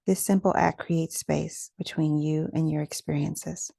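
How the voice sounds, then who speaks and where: A woman leading a guided meditation in a gentle, soothing, measured way, with pauses between phrases. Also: low-pitched, fairly even intonation, creaky, no room sound.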